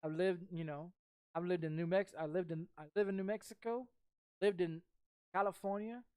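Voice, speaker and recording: A woman's voice: fairly low pitch, fairly even intonation, normal speed, mellow, clear, resonant tone, dry recording, clean studio sound. A woman in her thirties from Texas chatting casually as a streamer, blunt and sweary, circling back over the same phrases. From Texas, United States. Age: 30s. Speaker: game streamer. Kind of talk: casual rambling chat.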